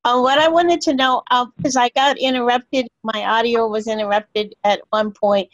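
A woman's voice: a little high, fairly even intonation, normal speed, poor audio. A woman on a video call asking and arguing casually in a group, hesitant and repeating herself. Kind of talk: casual questioning in group discussion.

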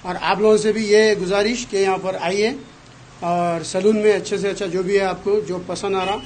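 A man's voice: high voice, fairly even intonation, moderate pace. A middle-aged man, a local party vice president, gives a formal statement into a handheld mic in a small crowded salon. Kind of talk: on-camera statement at a shop opening. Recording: light background noise.

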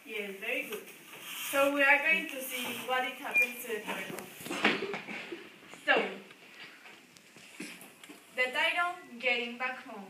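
Young woman reading a kids story slowly, high voice, echoey classroom far from mic. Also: lively intonation. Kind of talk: read-aloud story with questions to listeners. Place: school classroom. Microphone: distant camera across the room. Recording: echoey room, distant mic, background noise.

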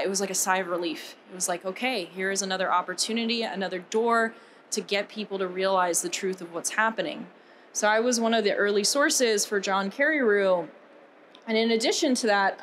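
A young American woman telling a personal story as a keynote speaker at a conference, conversational and a little halting. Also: medium pitch, fairly bright tone, a little hiss or hum. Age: young adult. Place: on a stage at a conference.

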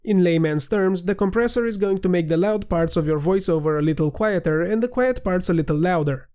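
A man with a slightly nasal voice, giving a steady, clear scripted voiceover for a software tutorial. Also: sits high, a little fast. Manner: clear, steady instructional delivery. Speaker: tutorial narrator.

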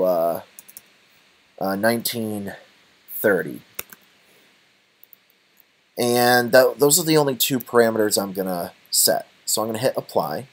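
A man giving an unscripted software tutorial, thinking aloud in a halting, tentative, low-key way. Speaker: tutorial presenter.

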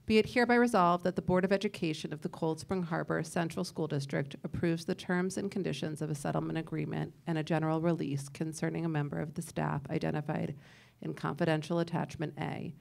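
Low, gravelly woman chairing a board meeting. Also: fairly even intonation, a little mellow. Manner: brisk, procedural, reads resolutions aloud. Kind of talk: formal meeting procedure. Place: large public meeting room.

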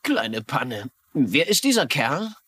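A man's voice: mid-range voice, very animated, slow pace, a bit bright, husky, no echo, full character voice. An actor playing a blunt, sarcastic married man in a dubbed crime drama. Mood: suspicious. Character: gruff, sarcastic married man grumbling about his freeloading uncle. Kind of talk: German dubbed TV crime series acting.

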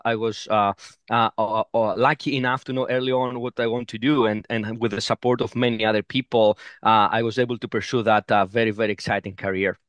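A middle-aged man from Greece, answering interview questions thoughtfully with many pauses and fillers over a headset on a video call. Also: mid-range voice, no room sound. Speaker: oncologist guest. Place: home room, video call.

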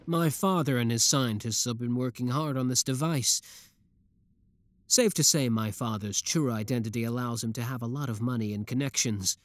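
A man's voice: mid-range voice, no room sound, lightly acted. A young man voicing an obsessive, lovesick young male villain in a theatrical, brooding audio roleplay. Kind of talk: roleplay audio drama monologue.

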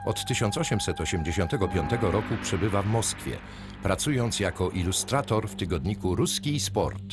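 A very deep male voice reads documentary narration in an even, measured way. Loud orchestral-style music plays behind him throughout the voice-over. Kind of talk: scripted narration.

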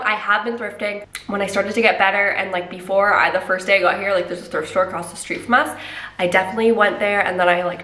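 A woman's voice: medium pitch, bright, forceful tone. A young woman in her twenties vlogging casually, chatty and upbeat with a lot of "like", sounding slightly congested from a recent cold. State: a little congested. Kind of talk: casual vlog chat and voiceover. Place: apartment room, indoors.

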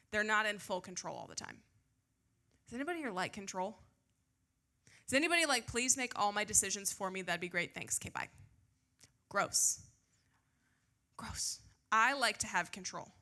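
A woman's voice: a little high, pushed and bright. An American woman preaching as a pastor in an informal, self-deprecating way, mixing scripture reading with chatty questions to her listeners, on a handheld mic. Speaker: pastor preaching to a youth group. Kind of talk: conversational sermon with scripture reading. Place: church stage before a seated audience. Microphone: handheld microphone.

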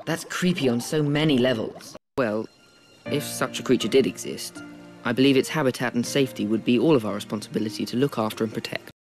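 A man plays a serious youth in a screen role. His mid-pitched voice is deadpan and measured, over faint music. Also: lightly acted.

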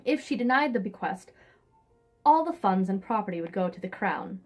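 A young woman recaps a novel in a casual, wry way. Her voice sits at an ordinary middle pitch, and she slips into theatrical, sarcastic delivery with some swearing. Classical music plays faintly behind her. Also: animated, moderate pace. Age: young adult. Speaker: book reviewer, host. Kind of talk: casual comic book recap with read-aloud excerpts. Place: indoors, small room in front of a hung sheet.

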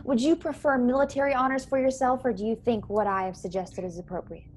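A young woman playing an earnest, courteous starship officer in a radio play, measured, polite and gently persuasive. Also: a little high, steady tone, lightly acted. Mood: polite, wistful. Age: young adult. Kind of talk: radio play acting over video call. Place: small bedroom, indoors. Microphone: earbuds on a video call. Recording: clear background noise on video call.

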